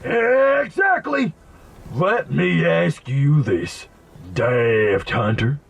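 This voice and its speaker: A man voicing an eccentric, grandiose inventor and mystic in a video game, raspy, creaky and theatrically verbose. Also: a little high, big pitch swings, drawn-out words, full character voice. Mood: grandiose, excited. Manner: theatrical, verbose, bursts of emphatic exclamation. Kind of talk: video game character acting.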